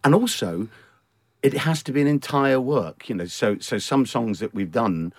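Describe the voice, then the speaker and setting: A man, a singer guesting on a podcast, chatting in a quick, jokey way. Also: mid-range voice, no echo, studio quality. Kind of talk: casual interview chat.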